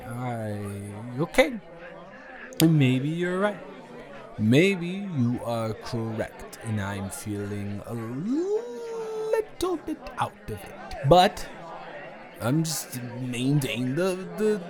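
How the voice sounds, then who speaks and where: A man playing a tipsy, stubborn male roommate at a party, rambling and repeating himself in an improvised audio roleplay. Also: on the high side. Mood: whiny, confused. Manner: rambling, tipsy, dragged-out and repetitive. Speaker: audio roleplay performer, channel creator.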